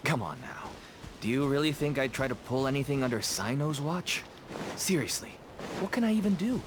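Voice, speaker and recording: A man's voice: mid-range voice, big pitch swings, moderate pace, noisy background, natural voice. An actor playing a nervous, ingratiating merchant father with a shady trade in a video game. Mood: anxious, placating. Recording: game music behind the voice.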